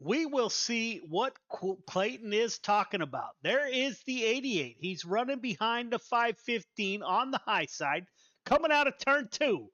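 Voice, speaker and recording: A man's voice: very high voice, sharp tone, no echo, highs cut off. A man in his fifties hosting a sim racing broadcast, chatty, folksy and enthusiastic. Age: 50s. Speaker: race broadcast host. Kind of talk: sim racing commentary and interview.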